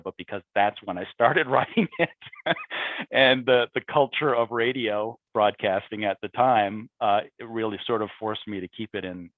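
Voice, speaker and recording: A man's voice: fairly low pitch, no echo. A middle-aged man, a playwright, talking casually and explaining his work over a narrow, phone-like call.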